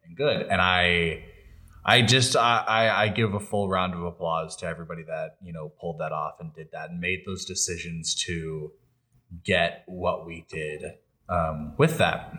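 A man's voice: sits low, steady tone, moderate pace, bright, forceful tone. A man co-hosting a fan podcast, talking in a casual, enthusiastic, conversational way. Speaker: podcast co-host.

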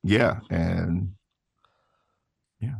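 Low-voiced man chatting casually. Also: very slow, vocal fry, slightly rough, no echo, clean studio sound. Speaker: interview guest, voice actor and creator. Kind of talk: casual conversational storytelling.

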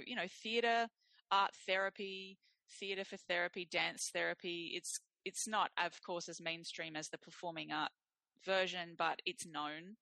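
A woman's voice: low-pitched, creaky, bright, forceful tone, no room sound. A woman talking earnestly and reflectively, searching for her words as she goes in an informal interview style. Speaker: social circus practitioner.

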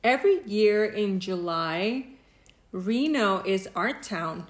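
A woman's voice: medium pitch, lively intonation, slow pace, reverberant. A middle-aged woman from Mexico co-hosting a podcast, chatting casually and mixing English with Spanish. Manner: enthusiastic, switches between English and Spanish. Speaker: podcast co-host. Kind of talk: bilingual casual chat.

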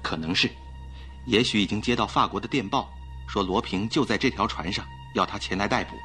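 A man playing a suave, composed, romantic gentleman traveler in a courteous, theatrical radio drama style. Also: lowish voice, a little fast, music in the background, close to an everyday voice. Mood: intent, knowing. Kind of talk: Mandarin radio drama acting.

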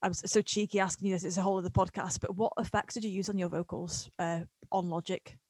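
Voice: medium pitch, steady tone, a little mellow, breathy edge, no room sound. Eager podcast host, dry close sound. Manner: enthusiastic, quick reactions. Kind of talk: casual podcast interview.

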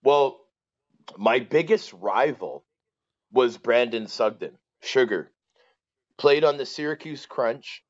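A man in his forties with a Canadian accent, telling stories casually as a podcast guest, colloquial and animated, often checking that the listener follows. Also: medium pitch, slow pace, long pauses, dry recording, lo-fi sound. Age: 40s. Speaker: former pro hockey player, interview guest.

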